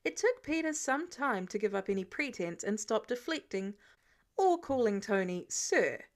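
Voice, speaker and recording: A woman reading a story aloud as expressive scripted narration, in the manner of an audiobook. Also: on the high side, moderate pace. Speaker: fan fiction audiobook reader.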